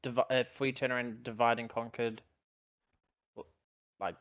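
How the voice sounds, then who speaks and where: A man's voice: medium pitch, level tone, normal speed, muffled recording. A man chatting casually and thinking aloud as a player in a tabletop roleplaying game.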